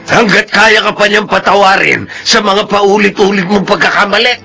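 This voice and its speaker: Casting: stern, caring big brother, creaky higher voice, admonishing radio soap delivery. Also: male voice, fairly even intonation, moderate pace, over loud music. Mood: stern, earnest. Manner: admonishing, earnest. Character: stern, reproving elder brother who still cares. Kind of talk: Filipino radio melodrama acting.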